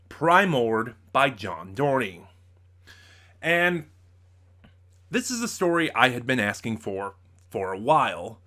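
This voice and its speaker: A man's voice: mid-range voice, bright, forceful tone. A man hosting a casual, unscripted review, talking in a rambling way with many asides and self-corrections. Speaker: host of a review channel.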